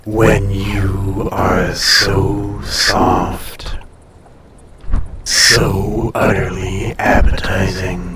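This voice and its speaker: A man voicing an ancient, haughty, possessive dragon in an intimate audio roleplay, speaking formally and deliberately with a breathy, softly menacing, seductive delivery. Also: sits low, reverberant, distant mic, background noise. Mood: hungry, seductive.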